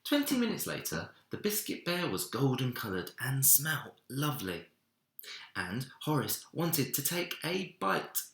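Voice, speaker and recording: A man reading a children's story aloud in an expressive narrating style, recorded in an echoey room. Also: medium pitch, measured pace. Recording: roomy, echoey indoor sound.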